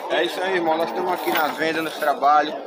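A man's voice: a little high, steady tone, very fast. A man selling cattle at an outdoor market, talking in a friendly, upbeat way from off mic. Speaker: cattle seller. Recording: loud crowd, heard from off mic.

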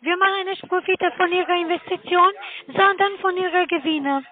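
Female telemarketer, very high voice, foreign accent, non-native phrasing, thin muffled phone line. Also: moderate pace. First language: not German. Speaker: cold caller selling online investments. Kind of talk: phone sales pitch. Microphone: telephone call.

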